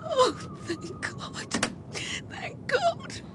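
A very high, swooping voice as a distraught young mother in a radio horror drama. She is fragile and halting, speaking slowly and breathlessly over a noisy, rumbling soundtrack. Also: wildly swooping pitch, exaggerated voice. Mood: tearful relief. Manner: halting, breathless, emotional delivery.

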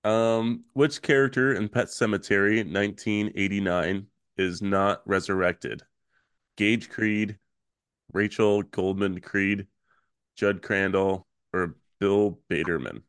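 A man hosting a podcast, his voice raspy and hoarse from a recent illness, reading trivia questions slowly in casual chat. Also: on the low side, no room sound, studio quality. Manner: reads questions slowly, relaxed filler-heavy talk. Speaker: podcast host.

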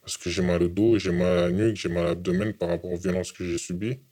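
A young man from France giving a halting, repetitive testimony in an interview. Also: sits low, fairly even intonation, mellow. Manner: halting, repeats words. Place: hidden from camera.

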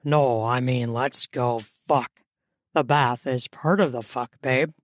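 A woman voicing a dominant, teasing man in an audiobook romance, murmuring in a strained, drawn-out way. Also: a bass voice, no room sound, voice pushed down. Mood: controlled, teasing. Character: dominant, teasing male lover, controlled and aroused.

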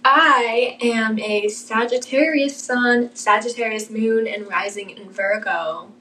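A woman's voice: on the high side, takes it slowly, sharp tone, slight background noise, low-quality recording. A young woman co-hosting a casual podcast, bubbly and theatrical. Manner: bubbly, theatrical, sings snippets. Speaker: podcast co-host. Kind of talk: casual chat.

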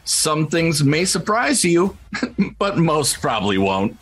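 A man's voice: fairly high pitch, big pitch swings, slow, fairly bright tone, breathy edge. A man hosting a podcast, reading out a scripted show introduction. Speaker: podcast host.

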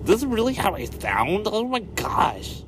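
Casting: male actor as a shy, giggly job seeker, raised pitch, halting delivery, over background rumble. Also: wildly swooping pitch, a bit bright, exaggerated voice. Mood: bashful, giggly.

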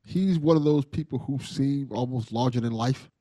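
A man's voice: mid-range voice, normal speed, mellow, no echo. A man hosting a podcast in casual conversation, earnest and appreciative, often repeating things for emphasis. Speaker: podcast host.

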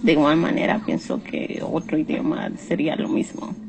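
A woman's voice: deep voice, level tone, normal speed, creaky. A woman giving casual answers in a survey interview. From Guatemala. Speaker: survey respondent. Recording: noisy on-location street-interview recording.

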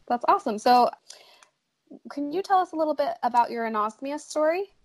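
A woman's voice: high voice, expressive, a little fast. A woman from Idaho hosting a podcast interview, asking questions in an upbeat, encouraging way. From United States, southern Idaho. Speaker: podcast host.

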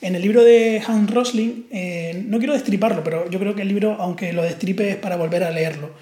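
A man's voice: high-pitched, fairly even intonation, fairly quick, warm tone. Man, Canarian Spanish accent, casual podcast chat, reflective, hesitant fillers, roomy echo. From Canary Islands. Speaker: podcast co-host. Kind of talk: casual conversation.

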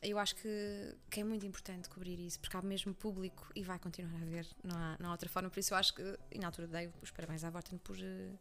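A young adult woman journalist with a Portuguese accent from Leiria, talking animatedly in an interview with frequent restarts. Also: lowish voice. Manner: fast, animated, many restarts and fillers. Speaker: journalist guest. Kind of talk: conversational interview.

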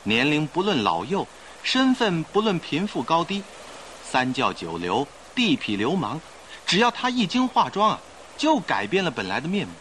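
Suave, expressive young gentleman. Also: on the high side, normal speed, slight background noise. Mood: impressed, dramatic. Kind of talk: old-style radio drama acting.

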